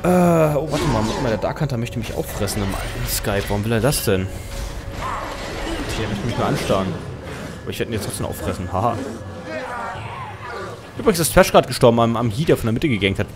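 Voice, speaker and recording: A young man with a German accent commentates a game from his desk in a loose, rambling way, thinking out loud and fixing his own slips. Music plays clearly underneath his voice. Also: mid-range voice, very animated, fairly quick, long pauses. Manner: chatty, self-correcting, thinks aloud. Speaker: game caster.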